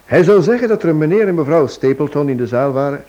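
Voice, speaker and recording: An actor playing a genial, self-assured amateur detective in a radio play, breezy and conversational. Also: male voice, on the high side, expressive, quick pace, dark tone, lightly acted. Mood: explanatory. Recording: dull, noisy old radio recording.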